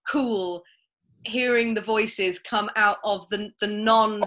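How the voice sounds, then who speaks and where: A woman's voice: mid-range voice, steady tone, takes it slowly, a bit bright. A woman talking informally in a casual panel chat between writers. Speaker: audio drama writer. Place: remote online call.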